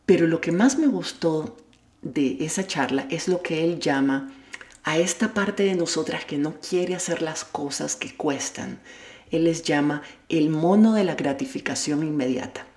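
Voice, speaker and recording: Low-voiced woman coaching in an echoey room. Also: measured pace, darkish tone. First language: Spanish. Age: adult with a child at home. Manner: candid, self-deprecating, addresses the listener directly. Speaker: life coach and podcast host. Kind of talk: motivational monologue.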